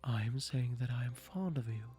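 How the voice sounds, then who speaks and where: A proud cat character from a dating game, put on by a player reading aloud, formal and imperious in manner, at a middling pitch. She addresses others as lesser beings, with faint music behind. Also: moderate pace, warm tone, husky. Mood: solemnly fond. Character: haughty, demanding female talking cat, formal and fond. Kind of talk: visual novel character read-aloud.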